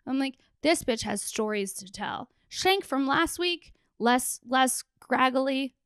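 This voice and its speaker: A young woman with a hoarse, tired, gravelly voice, riffing casually and bluntly as a solo comedy podcast host, close on a handheld microphone. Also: high voice, lively intonation, slow, fairly bright tone, no echo. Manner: blunt, sweary, joking. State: hoarse, worn-out voice after a festival; exhausted. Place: small plain room indoors. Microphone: handheld microphone held close.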